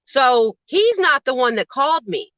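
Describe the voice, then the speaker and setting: A woman with a bright, forceful voice speaks bluntly and swears freely, pressing each point hard. Her voice sits a little high and is recorded dry and close, with a dull, slightly lo-fi sound. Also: very animated, measured pace, no room sound. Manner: blunt, profane, emphatic storytelling; says she has a naturally loud voice. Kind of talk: casual chat on a livestream.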